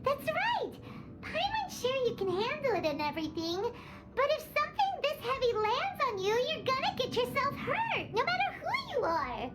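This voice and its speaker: A woman voicing a tiny, excitable floating female companion creature in a video game, bubbly and exclaiming, talking about herself by name. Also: moderate pace, exaggerated voice. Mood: fussing. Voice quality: high-pitched, childlike. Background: game music.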